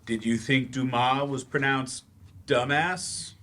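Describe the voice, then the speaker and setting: A male stage actor as a washed-up writer teaching remedial English. He is wry, hesitant and exasperated, speaking at a middling pitch in a live play reading. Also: steady tone, takes it slowly, a bit bright, slight background noise. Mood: dry, exasperated.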